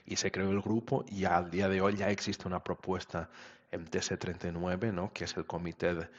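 A low-pitched man talks through his answers in an interview, restarting phrases and checking in with little tag questions. His voice comes over a video call with dull, lo-fi sound. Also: flat delivery, moderate pace. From Portugal. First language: Portuguese. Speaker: guest, web standards expert.

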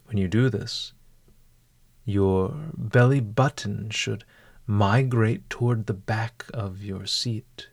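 A man leads a scripted guided meditation at a slow pace. His voice sits a little low, and his delivery is formal and measured. The recording is dry, with very little room sound. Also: vocal fry, dry recording. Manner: formal, measured, eloquent phrasing.

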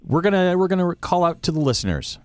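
A man's voice: high voice, very animated, fast talker, darkish tone, dry recording. A man in his forties hosting a casual podcast panel, joking and steering the talk. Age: 40s. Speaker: podcast host.

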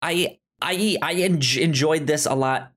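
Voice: mid-range voice, a little slow, a bit bright. A podcast co-host chatting casually about films, often stammering and repeating words in a hesitant way. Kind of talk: casual film discussion.

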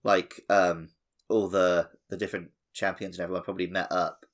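A man's voice: low-pitched, level tone, normal speed. A man co-hosting a podcast, chatting casually and jokingly about a video game. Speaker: podcast co-host. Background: music.

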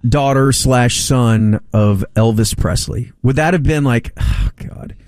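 A man's voice: mid-range voice, unhurried, dry recording, studio quality. A man co-hosting a comedic talk show, trading jokes and bits with his co-hosts. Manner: animated, jumps into bits with mock voices. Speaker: co-host. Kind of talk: casual comedic banter.

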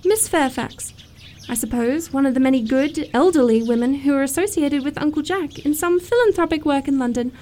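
A woman's voice: sits high, brisk, few pauses, warm tone, slight background noise, lightly acted. A woman playing a romantic, self-assured young upper-class lady in a period comedy radio play, precise and sweetly matter-of-fact. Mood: polite, prim.

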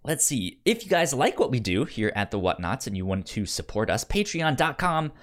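A man's voice: mid-range voice, very animated, moderate pace. A man hosting a podcast in a casual, chatty style, often stammering and repeating words and syllables as he goes. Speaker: podcast host.

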